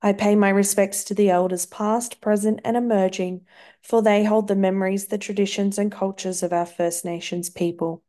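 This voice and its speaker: An Australian woman hosts a podcast in a soft, earnest voice of middling pitch. She moves easily from a carefully read welcome into relaxed conversation with her guest. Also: normal speed. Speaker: podcast host. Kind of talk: scripted intro then casual interview chat.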